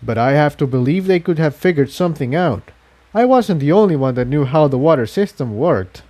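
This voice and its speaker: A man plays a male unicorn pony in a storybook adventure. He is solemn and earnest, explaining things in a mellow voice, burdened by guilt. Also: on the high side, lively intonation, a little fast, pitched above the performer's own voice.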